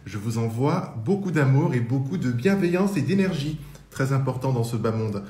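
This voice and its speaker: A man hosting a live-streamed interview, talking warmly and at length with rambling questions. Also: medium pitch, animated, moderate pace. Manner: warm, effusive, rambling questions. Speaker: interview host.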